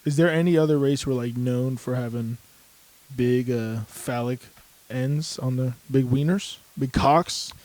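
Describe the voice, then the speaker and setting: A man's voice: medium pitch, measured pace, darkish tone. A man co-hosting a comedy podcast in casual chat, swearing and joking crudely. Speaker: podcast co-host.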